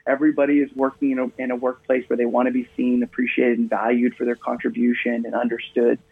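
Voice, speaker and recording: A man's voice: medium pitch, monotone, fast, creaky, slightly rough. A man from Maine, a guest speaker, chatting in an upbeat storytelling way over a remote line in a radio interview. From Maine, USA. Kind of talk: casual interview conversation. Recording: tinny phone line.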